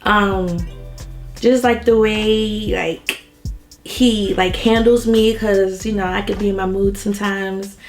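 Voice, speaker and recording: A young woman co-hosting a casual couple's question-and-answer video, chatty and quick to laugh, still getting over a cold. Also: mid-range voice, soft background music. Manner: chatty, laughs often, lively. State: recovering from a cold. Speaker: vlog co-host.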